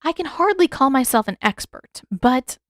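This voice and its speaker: A woman's voice: high voice, expressive, normal speed, no room sound, clean studio sound, voice pushed up. Woman voicing a stern, doubtful older healer woman.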